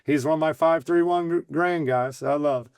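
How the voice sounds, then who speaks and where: A middle-aged man hosting a casual podcast, hoarse today, talking in a rambling, folksy, excitable way full of restarts. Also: fairly high pitch, normal speed, dry recording, studio quality. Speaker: host and bar owner.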